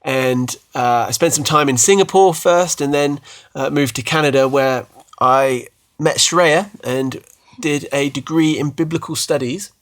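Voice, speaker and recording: A man's voice: on the high side, measured pace, few pauses. British guy, 30s, chatty podcast cohost, keeps restarting his sentences, pokes fun at himself. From United Kingdom. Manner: chatty, self-deprecating, frequent restarts. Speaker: podcast co-host. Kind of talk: casual faith podcast chat.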